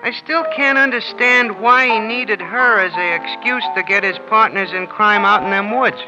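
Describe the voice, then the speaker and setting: A naive American bartender played by a man in 1940s radio style, slangy and forever puzzled. His voice is high for a man, heard on a muffled old recording over clear music. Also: moderate pace, close to an everyday voice. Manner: slangy, plain-spoken, puzzled. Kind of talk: 1940s radio crime drama acting.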